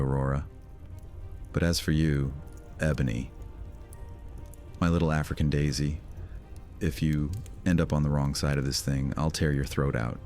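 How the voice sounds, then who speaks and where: A cruel vampire lord , voiced by a man, taunts and threatens with a sneer. His voice sits extremely low. Faint music plays behind him. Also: darkish tone. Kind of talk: audio drama roleplay.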